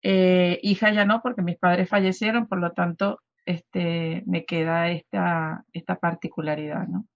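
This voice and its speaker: A woman's voice: lowish voice, steady tone, unhurried, few pauses, no room sound, highs cut off. A woman in her fifties with a Venezuelan accent, a guest talking in a conversational radio interview with many fillers and restarts.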